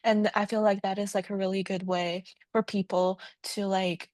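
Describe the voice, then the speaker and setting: Informal woman interviewer, fairly even pitch. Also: normal speed, no room sound, studio quality. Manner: informal, tentative, very frequent "like" as filler. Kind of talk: casual student-like interview.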